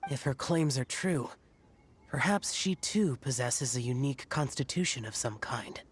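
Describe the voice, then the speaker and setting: A woman's voice: very deep voice, lively intonation, moderate pace, a little hiss or hum. A woman playing an aloof, solemn young male demon slayer in a video game, speaking in a terse, formal, reserved way.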